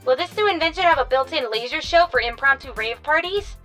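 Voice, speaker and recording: A woman voicing a devoted, chirpy robot helper in an animated comedy, adoring and eager. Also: sits high, fairly quick, soft background music.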